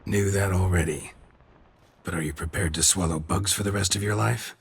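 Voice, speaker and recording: An actor playing a stoic, world-weary monster hunter in a video game, speaking in terse, deadpan lines with a gravelly, husky voice. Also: male voice, low voice, normal speed, exaggerated voice. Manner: terse, deadpan, clipped sentences.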